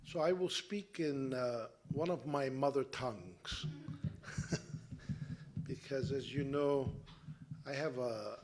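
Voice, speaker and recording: An older man from Canada giving a measured talk to an interfaith gathering, pausing often with "uh". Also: medium pitch, darkish tone, a little hiss or hum. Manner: measured, with frequent "uh" pauses. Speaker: guest speaker.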